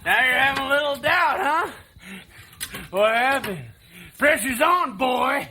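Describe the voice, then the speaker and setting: Mocking younger brother in a play, bright edgy voice, super animated, bit of noise behind. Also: male voice, very high voice, unhurried, heavily acted. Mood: taunting. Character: taunting, bitter younger brother, screenwriter gone mocking. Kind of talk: live stage play acting.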